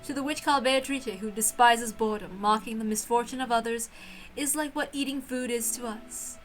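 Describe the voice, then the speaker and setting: Woman reading as a reflective, high-voiced servant girl. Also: a little fast, fairly bright tone, close to an everyday voice, poor audio. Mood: musing. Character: reflective young servant girl narrating her inner thoughts. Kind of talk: first-person visual novel narration. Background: music.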